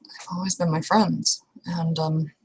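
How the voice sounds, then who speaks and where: A woman roleplaying a weary, conflicted, blunt young female werewolf, speaking haltingly and coarsely in an improvised monologue. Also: deep voice, flat delivery, darkish tone, husky, heavily acted, rough recording. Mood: resigned, unsure. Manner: hesitant, halting, coarse-mouthed.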